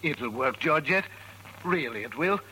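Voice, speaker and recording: An actor playing an earnest, clinging, lovesick middle-aged husband in an old-time radio drama, insistent and pleading. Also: male voice, on the high side, lively intonation, a little slow, sharp tone. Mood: desperate, devoted. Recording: noisy vintage radio recording.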